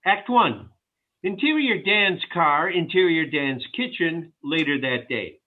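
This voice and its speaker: High-pitched man narrating, phone-like sound. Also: expressive, a little slow. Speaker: stage-direction narrator. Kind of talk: reading script directions aloud at a table read. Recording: tinny phone-like line.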